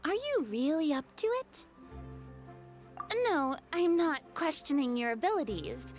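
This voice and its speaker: An actress voicing a theatrical, haughty yet easily flustered young woman in an anime-style video game, with a dramatic, expressive delivery. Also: high voice, measured pace, soft background music, full character voice. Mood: doubtful. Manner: dramatic, expressive, slightly pompous.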